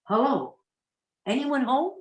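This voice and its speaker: A woman's voice: high-pitched, very animated, takes it slowly, noisy background, full character voice. A woman playing a bossy, sardonic big-sister burglar in a comic radio play, recorded in an echoey space. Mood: sardonic, wary. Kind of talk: comic old-time radio play acting. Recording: echoey, roomy pickup.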